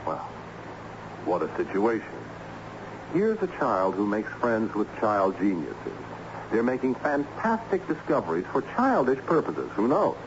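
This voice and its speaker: An actor playing an earnest, learned schoolteacher who speaks insistently and didactically in a radio drama. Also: male voice, on the low side, huge pitch swings, unhurried. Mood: troubled. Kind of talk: old-time radio drama acting. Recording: muffled, noisy radio drama recording.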